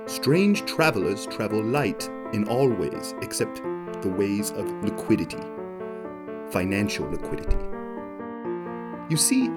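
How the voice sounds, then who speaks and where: A man playing an amoral, self-justifying innkeeper in an audio drama, with a theatrical, articulate, formal and wry delivery. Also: medium pitch. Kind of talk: dramatic first-person monologue narration in an audio drama.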